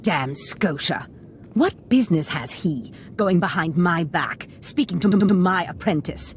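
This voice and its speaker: A woman's voice: on the low side, expressive, unhurried, slight background noise. A woman playing a haughty, scheming Sith master in a video game, theatrical and calculating. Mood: indignant. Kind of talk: video game dramatic acting.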